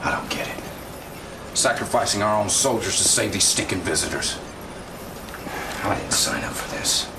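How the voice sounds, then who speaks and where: Tired army officer in a game, real low voice, talks straight n casual, lots of noise behind. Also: male voice, animated, moderate pace, pauses often. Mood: disillusioned. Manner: colloquial, confiding, gritty. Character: weary, blunt, doubting military field officer. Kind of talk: naturalistic video game cutscene acting. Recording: distant mic, clearly noisy background.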